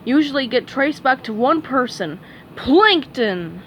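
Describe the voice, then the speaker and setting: A woman plays a greedy male crab restaurant boss from a storybook, loud and fretful about money. His voice sits high and swings about. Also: very animated, a little slow, slight background noise, exaggerated voice. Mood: worried.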